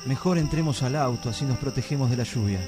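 Radio play: a man voicing a soft-spoken Argentine teen boy in love, mid-pitched, music underneath. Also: quick pace, close to an everyday voice. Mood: tender. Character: teenage boy in love, gentle, reassuring. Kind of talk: amateur radio drama acting.

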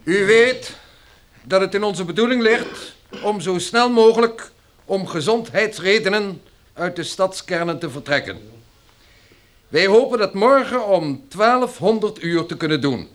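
A man's voice: sits high, lively intonation, a little slow. A man acting in a radio drama as a stiff, officious army colonel who briefs listeners in pompous official language.

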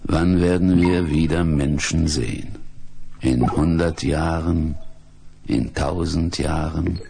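Disembodied alien mind, radio drama, low gravelly voice, very slow, solemn, brooding. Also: male voice, steady tone, warm tone, full character voice. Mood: brooding, pensive. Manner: slow, deliberate, brooding delivery. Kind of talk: 1970s German science fiction radio drama acting.

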